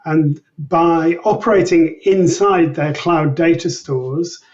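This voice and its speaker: A man's voice: on the high side, slow, a little mellow, far from the mic, lo-fi sound. A man speaking as a research expert in an interview, explaining things in a formal, precise and structured way. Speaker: research scientist, interview guest.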